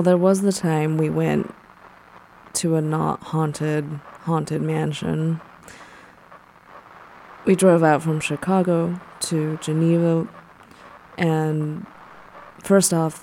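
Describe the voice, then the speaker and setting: Woman acting a blunt horror-loving storyteller, deadpan, very slow, creaky voice, audio drama. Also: sits low, a little hiss or hum, exaggerated voice, rough recording. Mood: deadpan, disappointed. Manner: deadpan, halting. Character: blunt, deadpan woman fond of spooky things.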